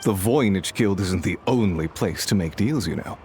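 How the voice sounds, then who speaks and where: A scheming, well-mannered game villain of a businessman. His delivery swings widely in pitch and drips with smug courtesy. Faint ambient noise sits under the voice. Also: expressive, normal speed, warm tone. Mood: smugly amused. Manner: smooth, mocking politeness. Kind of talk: video game voice acting.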